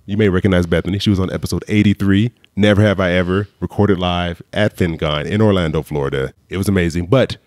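A man's voice: sits low, steady tone, fast, hardly any pauses, darkish tone, no room sound. A man hosting a podcast, chatting casually and joking with a guest, laughing often. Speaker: podcast host. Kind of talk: casual podcast chat and playful debate.

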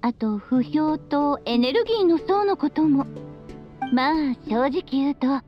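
A free-spirited witch mother for an anime game. Her high voice is breezy and teasing, yet doting. The sound is slightly dulled, with music playing behind her. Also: animated, unhurried, highs cut off. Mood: playful. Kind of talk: Japanese anime game voice acting.

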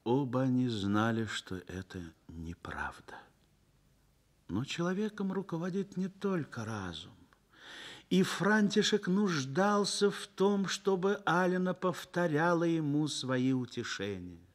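A man narrating a Soviet radio drama in a measured, dramatic storytelling manner. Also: on the high side, big pitch swings.

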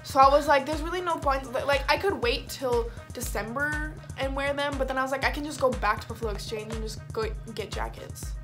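A woman's voice: high-pitched, brisk, hardly any pauses. A young American woman chatting in casual, slangy speech to the camera in a bedroom, as in a clothing haul vlog. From lives in Las Vegas, United States. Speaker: video blogger. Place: small bedroom, indoors. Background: background music.

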